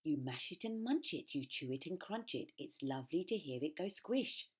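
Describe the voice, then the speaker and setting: A woman voicing a huge, sly, greedy crocodile in a children's storybook, gloating over every drawn-out word. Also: low voice, animated, breathy edge. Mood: gloating relish. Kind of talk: children's storybook read-aloud.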